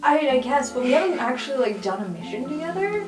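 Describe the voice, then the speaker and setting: A woman's voice: sits high, big pitch swings, fast. A woman playing a meek, unsure teenage superhero who agrees hesitantly in an improvised roleplay podcast. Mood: meek, awkward. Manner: hesitant, quiet, reluctantly agreeing. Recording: roomy, distant, music underneath.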